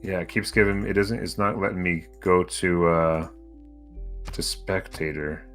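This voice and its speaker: A man chatting casually during a multiplayer game stream, hesitant and thinking out loud. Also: low voice, steady tone, unhurried, faint music behind.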